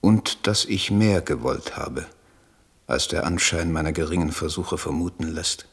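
Troubled idealist poet: low husky voice, solemn elevated delivery for radio drama. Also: male voice, unhurried, full character voice. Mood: solemn resolve. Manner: solemn, declamatory, elevated diction. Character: idealistic, troubled male German poet in letters. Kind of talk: literary radio drama monologue.

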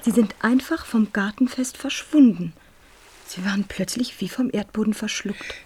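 Hushed, wondering teenage daughter. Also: female voice, medium pitch, normal speed. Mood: insistent, mysterious. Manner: hushed, often breathy and whispered. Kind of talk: German radio drama acting.

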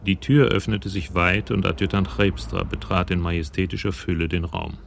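A man narrating a German radio crime drama from a script in a measured, wry, descriptive way. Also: sits low, fairly even intonation, gravelly, a little hiss or hum. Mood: matter-of-fact.

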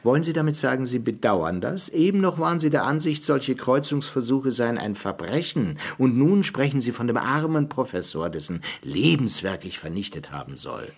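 An actor playing an ambitious, defensive politician with a bitterly sarcastic, indignant manner in a radio drama. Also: male voice, mid-range voice, very animated, moderate pace, few pauses, husky. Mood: defensive, cutting. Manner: indignant, sarcastic, swinging from hushed to loud.

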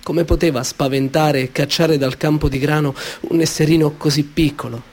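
A man reading his own children's story aloud in a gentle, measured and expressive way. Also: on the high side. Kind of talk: storybook narration.

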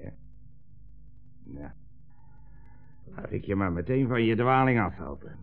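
An actor playing a bullying communist police commissioner in a 1960s radio drama, mocking, curt and domineering. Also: male voice, low voice, creaky, heavily acted. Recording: dull 1960s radio recording, music behind.

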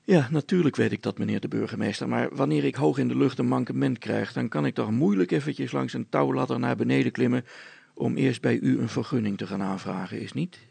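A man voicing a calm helicopter pilot for a storybook, polite, patient and gently ironic. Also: mid-range voice, fairly quick, vocal fry, breathy edge, no room sound. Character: calm, courteous, cheeky male helicopter pilot.

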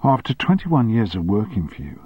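Indignant sharp-tongued secretary put on by a man, sarcastic retorts, dry close sound, slightly muffled. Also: lowish voice, very animated, normal speed, husky, no room sound.

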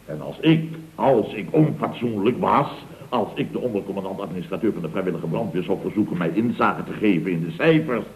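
A man's voice: low-pitched, fairly quick. An actor playing a scheming, mock-innocent contractor boss in a 1960s radio comedy, with a Netherlands Dutch accent, stammering out repeated phrases as he insinuates. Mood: sly insinuation. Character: wheedling, scheming male building contractor boss. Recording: muffled old radio recording, roomy sound.

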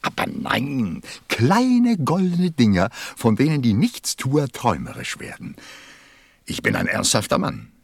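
An actor playing a busy, self-important middle-aged businessman in a radio play, with a gravelly, husky voice and a testy, muttering manner. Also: mid-range voice, huge pitch swings, a little slow, no room sound, studio quality, full character voice. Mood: irritated, pompous. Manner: pompous, testy, muttering, swooping. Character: busy, self-important 54-year-old businessman counting stars.

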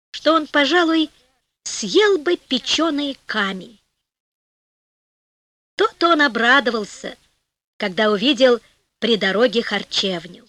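A woman narrating a folk tale for an audiobook in an expressive, theatrical storytelling style. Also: sits high, dry recording.

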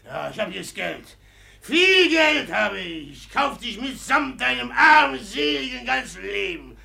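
A man's voice: extremely high pitch, big pitch swings, measured pace, gravelly, pushed and bright, exaggerated voice. An actor playing a drunken, boastful, volatile rich man in a German radio play, ranting repetitively and taunting. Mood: gloating fury. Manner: slurred-drunk ranting, repeats words, bursts into laughter.

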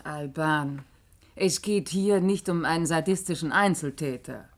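A rational, sceptical woman in a radio play. Her voice sits a little low, serious and questioning. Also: unhurried. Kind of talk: German radio play acting.

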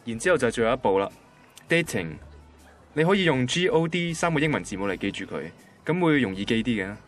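A man's voice: mid-range voice, big pitch swings, quick pace, soft background music, close to an everyday voice. An actor playing an ordinary, literal-minded young male banker with a Hong Kong Cantonese accent, in a radio drama. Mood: matter-of-fact. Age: young adult. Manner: matter-of-fact, pedantic.

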